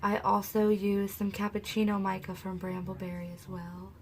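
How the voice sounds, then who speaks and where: A woman hosting a soap-making video, talking softly and casually because her throat is sore and she is losing her voice. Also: medium pitch, completely flat delivery, normal speed, a little hiss or hum. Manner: soft-spoken, talks quietly. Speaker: soap-making channel host. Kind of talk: casual craft tutorial narration.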